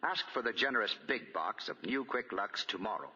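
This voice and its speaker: A man's voice: lowish voice, lively intonation, normal speed, sharp tone, slightly rough. An American man working as a 1940s radio announcer, reading copy with polished, emphatic phrasing on a dull old recording.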